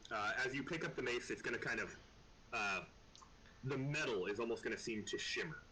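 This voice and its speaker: A man chatting casually as game master of an online tabletop game, often halting and repeating words. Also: mid-range voice, background noise.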